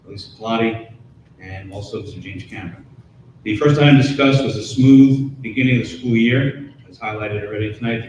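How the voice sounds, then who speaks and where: A man giving a matter-of-fact committee report at a school board meeting. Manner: steady, matter-of-fact, occasional uhs. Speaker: board member, committee chair. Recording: echoey room from far off, faint rumble.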